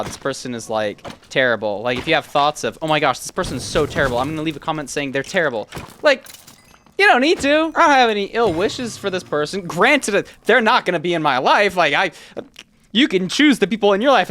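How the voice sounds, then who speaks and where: Guy in his 20s, pretty high voice, casual rambly storytime vlog, quotes himself a lot, some noise in the background. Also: fairly bright tone. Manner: rambling, conversational, frequent self-quoting. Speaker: YouTuber.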